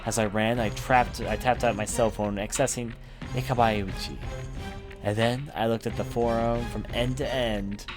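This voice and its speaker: A man's voice: medium pitch, fairly even intonation, normal speed. A man reading a horror visual novel aloud as a let's player, in dramatic first-person story narration. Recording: music behind the voice. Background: game music.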